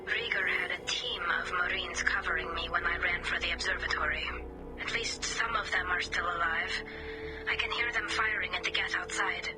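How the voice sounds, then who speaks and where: A woman's voice: on the low side, steady tone, normal speed, poor audio. A woman playing an earnest, wistful young female alien engineer in a video game, heard through a helmet radio filter. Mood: earnest, focused. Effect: helmet filter, radio comms. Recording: game audio with music behind, filtered voice. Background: music.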